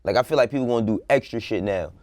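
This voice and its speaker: A man's voice: on the low side, animated, rapid-fire, darkish tone, dry recording. A man co-hosting a casual panel show, talking bluntly in slang with swearing and repeating himself for emphasis. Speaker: show co-host giving opinions.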